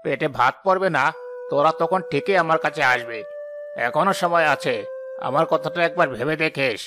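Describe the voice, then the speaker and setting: A man's voice: fairly high pitch, moderate pace, close to an everyday voice. An actor playing a scheming, domineering older village headman in a cartoon, with a menacing way of talking. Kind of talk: cartoon dialogue.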